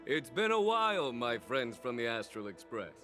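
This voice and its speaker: Gracious game general, mid pitch but very expressive, formal and welcoming. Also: male voice, normal speed, soft background music. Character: courteous, composed male general, welcoming and gracious.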